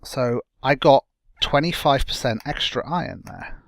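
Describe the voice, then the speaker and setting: Young male let's-play host, slightly croaky, rambling casual commentary, dry close sound. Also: mid-range voice, animated, a little slow, a bit bright, dry recording. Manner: rambling, self-correcting, chatty.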